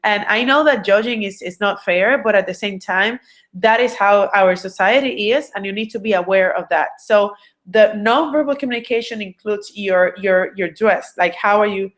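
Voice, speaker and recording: A woman's voice: medium pitch, normal speed. Earnest Puerto Rican woman coaching. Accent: Puerto Rican Spanish. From Puerto Rico. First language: Spanish. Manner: earnest, many fillers and restarts. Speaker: recruiting coach. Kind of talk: online training presentation.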